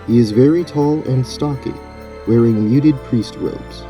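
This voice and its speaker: A man narrating a scripted fantasy tabletop story as game master in a measured, descriptive way. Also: medium pitch, over music.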